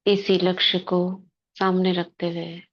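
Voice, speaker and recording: A woman leading a guided spiritual meditation, speaking in short, measured devotional phrases with pauses between them. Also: lowish voice, level tone, lo-fi sound. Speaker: meditation leader.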